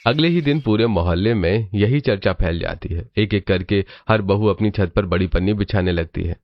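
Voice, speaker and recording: A man narrates a scripted story in a low voice. The recording is a little dull and lo-fi, as if the top end were trimmed. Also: moderate pace, no echo.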